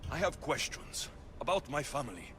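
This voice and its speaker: A man's voice: medium pitch, big pitch swings, moderate pace, background noise, lightly acted. An actor playing a proud, wary young male warrior in a video game, blunt, intense and questioning. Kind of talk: video game cutscene acting. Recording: music behind the voice.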